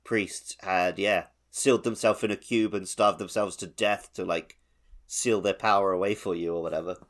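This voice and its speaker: A man's voice: deep voice, steady tone, normal speed, a bit bright. A man co-hosting a podcast, discussing a game casually and explaining things informally with loose, conversational phrasing. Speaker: podcast co-host.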